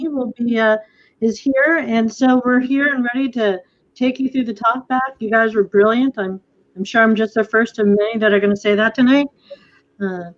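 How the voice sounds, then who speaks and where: A woman hosting an informal post-show talkback as director, effusive and hesitant, over a video call. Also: fairly high pitch. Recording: dull, lo-fi video call audio.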